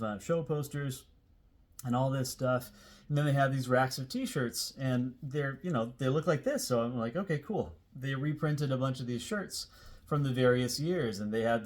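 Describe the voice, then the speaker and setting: A man's voice: mid-range voice, moderate pace. An American man in his forties tells a personal story in a casual, conversational way. His delivery is informal and rambling, as if talking to viewers he knows. He puts extra emphasis on key words as he goes. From Seattle area, United States. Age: 40s. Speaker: host telling a personal story.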